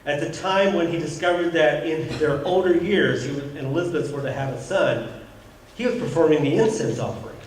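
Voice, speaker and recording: A man reading a scripted introduction aloud in church, formal and measured, with an occasional stumble. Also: on the high side. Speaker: church reader introducing a monologue. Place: large church sanctuary. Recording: very echoey church, distant mic, some noise.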